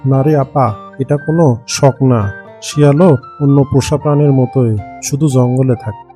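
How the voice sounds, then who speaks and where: A man's voice: medium pitch, steady tone, moderate pace, dark tone, lightly acted. A man voicing a kind, lonely village farmer in a cartoon, musing in plain rustic phrasing. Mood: protective. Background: background music.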